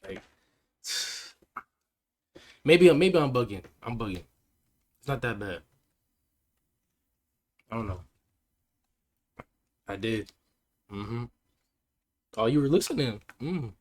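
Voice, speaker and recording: A man livestreaming and chatting with his viewers in a laid-back, slangy way, his voice hoarse and cracking. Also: medium pitch, sing-song, slow, pauses often, a little hiss or hum. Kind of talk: casual chat with stream chat.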